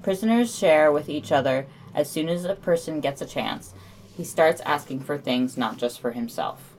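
A woman's voice: deep voice, moderate pace, darkish tone, a little hiss or hum. A woman co-hosting a podcast, reading scripted news summaries with informal asides.

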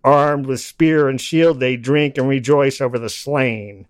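A man speaking as a podcast guest about poetry, with a halting, digressive delivery. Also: mid-range voice, fairly even intonation, dry recording, clean studio sound. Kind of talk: reciting poetry, then informal explanatory discussion.

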